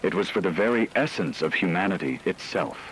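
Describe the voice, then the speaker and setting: Male narrator for apocalyptic drama: deep register, grave measured read, light noise bed behind. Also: expressive, fairly bright tone, low-quality recording.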